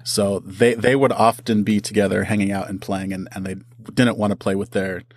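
Low-voiced man chatting as a guest. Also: level tone, moderate pace. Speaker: interview guest; audio drama writer. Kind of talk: casual conversational interview.

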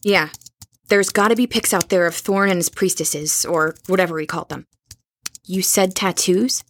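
A woman acting an anxious, resolute young woman in an audio horror drama, speaking in a husky, earnest, urgent hush. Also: fairly low pitch, fairly even intonation, normal speed, slight background noise. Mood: haunted.

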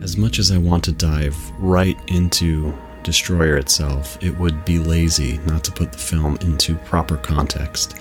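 Really deep guy doing scripted podcast commentary, formal and articulate, soft music under him. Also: fairly even intonation, measured pace, hardly any pauses. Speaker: podcast host.

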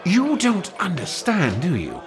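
Earnest young playwright: high voice, jumpy intonation, incredulous protests, comic audio drama. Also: male voice, wildly swooping pitch, a little slow, a crowd in the background, heavily acted. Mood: dismayed, defiant. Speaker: actor. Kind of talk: comic podcast play dialogue.

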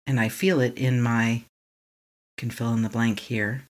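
A meditation guide leading a tapping session, speaking slowly and calmly in short affirmations for the listener to repeat. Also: medium pitch. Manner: calm, slow, repeating affirmations to be echoed back.

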